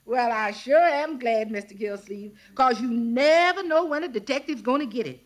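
An actress playing a drawling household maid in a 1940s radio comedy. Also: female voice, on the high side, expressive, normal speed, lightly acted. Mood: ominous. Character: gloomy, cheerful household maid.

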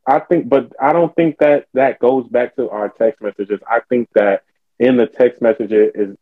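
A young man asking pointed, probing questions in a relaxed podcast chat. His voice is mid-pitched and ordinary. The audio is thin and phone-like, with no room sound. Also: moderate pace, no room sound. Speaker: podcast co-host. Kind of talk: casual chat.

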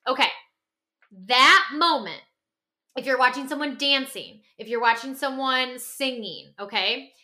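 A woman with a fairly high, very bright voice talks as a podcast co-host. She is animated and emphatic, explaining ideas conversationally and pausing to check that the listener follows. Her delivery has a sharp, forward edge. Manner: emphatic, checks in often with "okay?" and "right?"; acts out imagined reactions in quoted voices.

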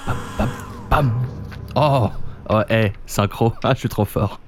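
A French actor playing a joking, easygoing barbershop client in naturalistic audio fiction. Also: male voice, on the low side, slow, noisy background. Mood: relaxed, casual. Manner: casual, jokey, chatty.

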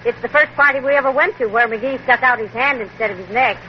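A woman's voice: on the high side, fast talker, highs cut off, natural voice. An actress playing a patient wife who teases her husband with dry quips, in a 1940s radio comedy. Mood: teasing. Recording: hissy old radio broadcast recording.

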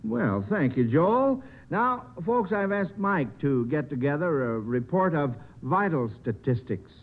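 A man's voice: a little high, very animated, measured pace. An older American man hosting a 1950s radio show in a folksy, avuncular way with children. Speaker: radio quiz show host. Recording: muffled vintage 1950 radio recording.

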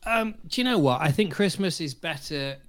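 A man co-hosting a podcast, telling anecdotes casually in a measured, careful, explanatory way. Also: on the high side, expressive. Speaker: podcast co-host.

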